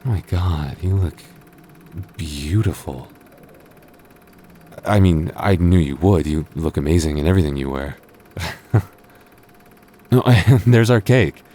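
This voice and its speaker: A man playing a devoted, earnest young boyfriend in an intimate audio roleplay, speaking haltingly with stammers. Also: sits low, lively intonation, warm tone, a little hiss or hum. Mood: affectionate, wistful. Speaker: voice actor.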